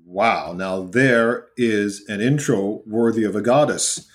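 A man's voice: lowish voice, takes it slowly. A man hosting a podcast in a conversational style. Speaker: podcast host.

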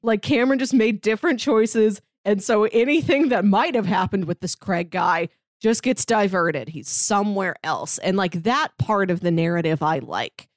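A woman's voice: medium pitch, normal speed, hardly any pauses, dry recording, clean studio sound. Chatty woman recapping a TV season. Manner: chatty, analytical, laughs often. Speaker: podcast co-host. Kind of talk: casual TV recap chat.

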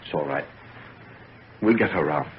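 Earnest young scientist, animated old radio drama. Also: male voice, a bass voice, moderate pace. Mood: urgent. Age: young adult. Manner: earnest, formal, theatrical delivery. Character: Henry Jekyll, earnest, obsessive young gentleman scientist. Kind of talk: old-time radio serial acting. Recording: dull, noisy old radio recording.

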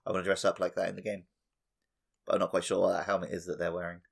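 A man's voice: low-pitched, flat delivery, racing, vocal fry, breathy edge. A man chatting casually as a podcast host.

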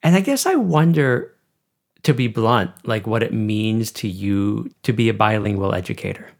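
A man's voice: lowish voice, animated, moderate pace, vocal fry. A man interviewing a teacher, asking questions in formal, elaborate wording.

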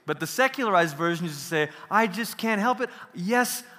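A man's voice: sits high, lively intonation, moderate pace, sharp tone, big-room sound. A man from Malaysia giving a casual workshop lecture on theology, talking conversationally, checking in with the audience and often restarting phrases. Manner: conversational, asks the audience questions, restarts, laughs easily. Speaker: workshop speaker.

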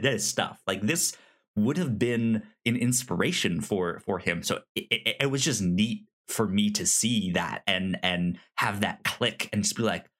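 A podcast co-host casually discussing film, often stammering and repeating words and sounds. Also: mid-range voice.

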